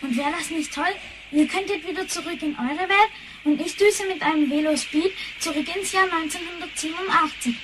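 A woman playing an eager, excitable child time traveller in a radio play, pleading and enthusiastic. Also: very high-pitched, normal speed. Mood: pleading, hopeful. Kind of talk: German radio play acting. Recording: clear noise behind the voice.

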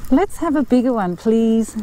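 A woman's voice: on the high side, lively intonation, unhurried, dark tone, slight background noise. A woman giving a cheerful, chatty running commentary while fishing from a sand dune by a river, talking to the fish as she catches them, recorded on a body-worn action camera. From Australia. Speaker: fishing vlogger.

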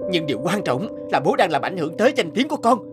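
An impatient, proud grown son in an animated tale. His voice is fairly high and very animated, with an emphatic scolding delivery. Music is clearly heard behind him. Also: fast. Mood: exasperated. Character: impatient, busy adult son, proud and easily exasperated. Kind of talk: animated moral story dubbing.

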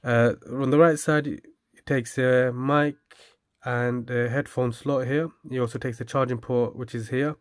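Man giving a halting, casual product review. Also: mid-range voice, fairly even intonation, no room sound, clean studio sound.

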